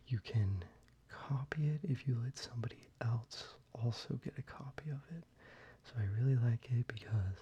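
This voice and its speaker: A man whispering softly and unhurriedly, with fillers, as he shows cards to the viewer for ASMR. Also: medium pitch, vocal fry, a little hiss or hum. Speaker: ASMR creator. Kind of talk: soft-spoken ASMR card deck showcase.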